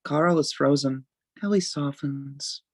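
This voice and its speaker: A narrator reads stage directions for a script table read. The delivery is plain and descriptive, in a mid-pitched voice with a very dry, close sound. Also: slow, no room sound.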